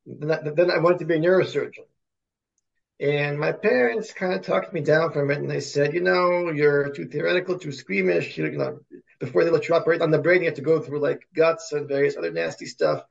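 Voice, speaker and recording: Gravelly Russian-born man, halting academic talk. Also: fairly high pitch, lo-fi sound. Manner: halting, repeats words and restarts often. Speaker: podcast guest, computer science professor. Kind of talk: conversational interview answers.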